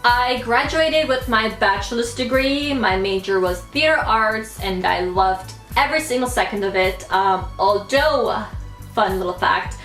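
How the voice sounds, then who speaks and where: A woman's voice: fairly high pitch, a little slow, a bit bright, echoey room, faint music behind. A young woman, chatting casually to camera as a YouTuber, playful and full of fillers. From United States.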